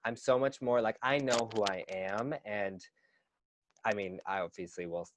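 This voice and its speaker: A man's voice: lowish voice, moderate pace, noisy background. A young man in his twenties, a dancer and teacher, talking earnestly in a casual interview with lots of fillers and restarts. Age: 20s.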